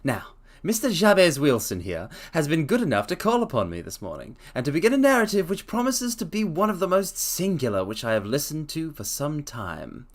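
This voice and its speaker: Man reading as a sharp, lecturing Victorian detective. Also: fairly high pitch, wildly swooping pitch, a little fast, few pauses, full character voice. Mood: assured. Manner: precise, lecturing, emphatic.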